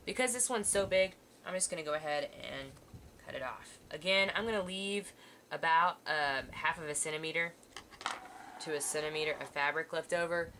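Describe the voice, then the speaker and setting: Bright-voiced woman teaching sewing. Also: low-pitched, moderate pace, a little hiss or hum. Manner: patient, reassuring, talks while working hands-on. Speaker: art teacher. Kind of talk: step-by-step sewing demonstration. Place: indoors at a table, overhead camera on hands.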